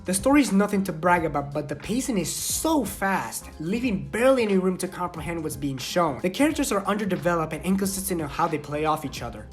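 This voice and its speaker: A woman co-hosting a film review with argumentative banter, blunt and quick to nitpick. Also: on the low side, fairly bright tone.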